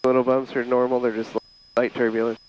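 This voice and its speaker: A man's voice: medium pitch, monotone, brisk, darkish tone, slight background noise, rough recording. A man speaking casually as a pilot, explaining flying to his passenger over a headset in a small plane's cockpit. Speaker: private pilot and vlogger. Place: inside a small airplane cockpit in flight.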